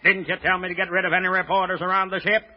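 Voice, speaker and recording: A sardonic gangster henchman played by a man tosses off slangy, sarcastic wisecracks in an old radio play. His voice sits high for a man, and the recording is muffled and dated. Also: flat delivery, racing, close to an everyday voice. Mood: matter-of-fact, warning. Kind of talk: 1930s-40s American radio drama acting.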